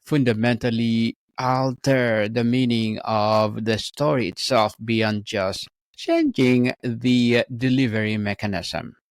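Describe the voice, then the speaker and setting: A man's voice: medium pitch, fairly even intonation, takes it slowly, no echo, clean studio sound. A man speaking as a podcast host, introducing and questioning in an interview style. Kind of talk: interview hosting.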